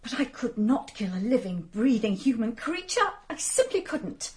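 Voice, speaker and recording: High-voiced, proud young woman. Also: big pitch swings, moderate pace, darkish tone. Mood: distressed protest. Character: proud, passionate young society woman, candid. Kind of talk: radio drama acting.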